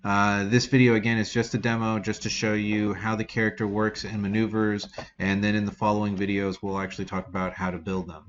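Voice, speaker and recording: A man giving a casual software tutorial as an instructor, explaining in an informal, rambling way. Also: lowish voice, level tone, a little fast. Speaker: game development instructor. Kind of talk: casual screen-recorded software tutorial walkthrough. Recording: dull lo-fi mic with background noise.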